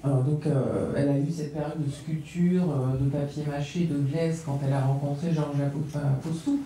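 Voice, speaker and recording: A woman's voice: deep voice, steady tone, moderate pace, dark tone, a little hiss or hum. A woman speaking as a panelist at a round-table discussion, talking in a hesitant way with many fillers and restarts. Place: gallery room. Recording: echoey room, far from mic.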